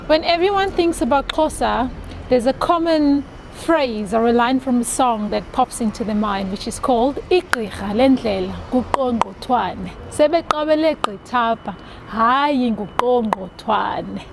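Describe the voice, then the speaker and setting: A woman's voice: fairly high pitch, measured pace, creaky, background noise. A woman from South Africa, Afrikaans her first language, with a South African accent, chatting in an interview and laughing easily. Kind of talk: recorded interview answers.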